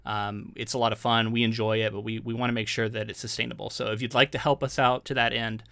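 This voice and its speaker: A man's voice: medium pitch, quick pace, creaky, dry recording. A man co-hosting a podcast, sounding a little congested, chatting casually with lots of ums and restarts. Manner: rambling, animated, frequent ums and restarts. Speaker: podcast co-host.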